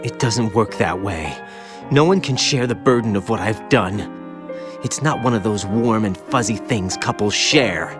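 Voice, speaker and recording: An actor playing a haunted young soldier and former child soldier, bitter and guilt-ridden, in an anguished video game cutscene. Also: male voice, fairly low pitch, expressive, unhurried. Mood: resigned sorrow. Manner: anguished, confessional, brooding. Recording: music behind the voice.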